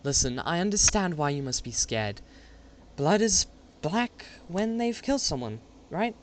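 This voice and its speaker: A man's voice: fairly high pitch, sing-song, a little slow, pitched above the performer's own voice. A man playing a pleading, stammering young woman, noisy recording. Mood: anxious, pleading. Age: late twenties. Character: frightened, guilt-ridden young woman confessing to her friend. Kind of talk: amateur radio play acting.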